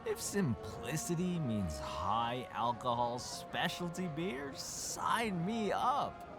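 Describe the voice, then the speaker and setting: A boozy, wisecracking brother from a detective game. His voice sits a bit high for a man and moves around a lot, with a slurred, unhurried drawl. Music plays clearly behind him. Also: wildly swooping pitch, full character voice. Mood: boozy joking. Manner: slurred drunk drawl, joking. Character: drunk, boozy, teasing brother at a beer festival. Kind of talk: video game voice acting.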